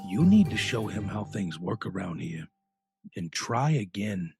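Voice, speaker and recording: A suspicious taskmaster mouse, acted by a man, stern and disapproving. His lowish voice rises and falls sharply, audio drama style with faint music behind. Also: sing-song, a little slow, vocal fry, darkish tone, exaggerated voice. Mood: indignant.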